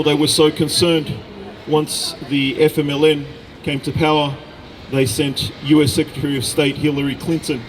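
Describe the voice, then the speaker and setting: A middle-aged man from Chile delivering a deliberate, emphatic political speech at an outdoor rally. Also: a little high, low-quality recording. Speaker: speaker at a rally, researcher. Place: outdoor public gathering in a city. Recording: outdoor rally with background noise.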